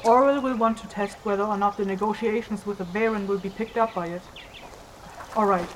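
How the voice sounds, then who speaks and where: A woman playing a stern, exacting female spirit ritualist in a fantasy audio drama, with a measured, instructive, commanding manner. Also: mid-range voice, steady tone, a little hiss or hum, lightly acted, rough recording. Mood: stern, exasperated.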